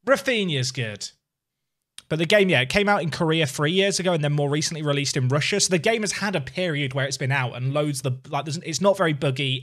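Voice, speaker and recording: A man in his thirties talks to his livestream while playing a game. His voice sits at an average pitch, and his delivery is casual and conversational, like chatting with mates from a small room at home. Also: fairly bright tone. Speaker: game streamer. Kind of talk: casual livestream gaming chat and commentary.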